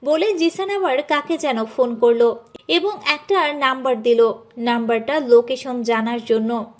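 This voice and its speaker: Woman, high-pitched voice, scripted dramatic story narration, narrator. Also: measured pace.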